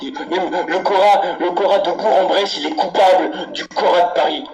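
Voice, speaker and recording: A man's voice: high-pitched, steady tone, moderate pace, darkish tone, close to an everyday voice. A man putting on a menacing, candy-obsessed angry customer in a prank phone call, ranting and swearing insistently. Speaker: prank caller. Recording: very noisy phone prank recording.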